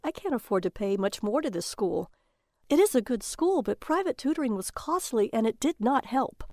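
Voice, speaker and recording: A woman's voice: a little high, lively intonation, normal speed, darkish tone, no echo, studio quality. A woman playing a weary, lonely mother of a troubled boy in an audio drama. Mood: weary, defensive.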